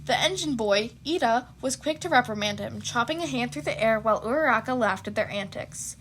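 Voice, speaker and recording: High-pitched woman reading a story. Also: lively intonation, a little fast, a bit bright, slight background noise. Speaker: fan fiction audio narrator. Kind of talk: expressive story narration with casual asides.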